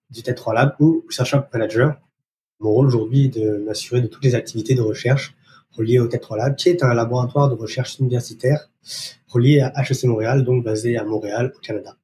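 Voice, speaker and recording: A man's voice: mid-range voice, fairly quick, dark tone, a little hiss or hum. A man from France with a French accent, answering interview questions in a hesitant way with many fillers. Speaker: research operations manager.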